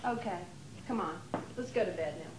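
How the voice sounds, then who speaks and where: A woman's voice: fairly low pitch, normal speed, natural voice. A woman playing a scheming, impatient wife who coaxes and persuades in an amateur stage play. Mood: resigned, coaxing. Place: theater during a rehearsal. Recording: echoey, noisy 1980s theater rehearsal tape.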